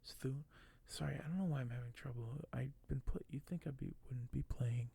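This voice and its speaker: A man doing soft-spoken ASMR in a breathy voice that often drops to a whisper, speaking in a slow, hushed, hesitant and apologetic way. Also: medium pitch. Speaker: ASMR video host.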